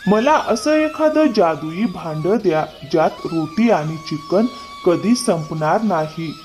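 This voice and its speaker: Man playing poor kindly cartoon labourer, playful, animated intonation, slow delivery. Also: high-pitched, soft background music. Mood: sly. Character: poor, good-hearted, playful working man. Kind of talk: cartoon story dialogue.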